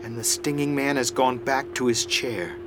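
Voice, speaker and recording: An actor in an audio drama playing an earnest young man in his twenties, easily flustered and halting. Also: mid-range voice, background noise, close to an everyday voice. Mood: observing. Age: 20s. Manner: halting, excitable.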